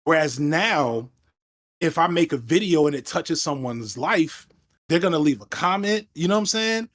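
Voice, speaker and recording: A man's voice: on the high side, animated, a bit bright. Reflective man talking over a headset. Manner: reflective, fast, self-interrupting. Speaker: guest, video essayist. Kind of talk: casual video call chat. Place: room at home indoors.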